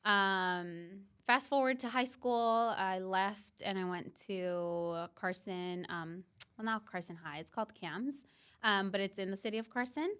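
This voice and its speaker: A woman's voice: medium pitch, measured pace, fairly bright tone, clear, resonant tone. A young woman from Mexico, a podcast guest talking through her life in a reflective, casual way with plenty of fillers. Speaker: podcast guest, business founder.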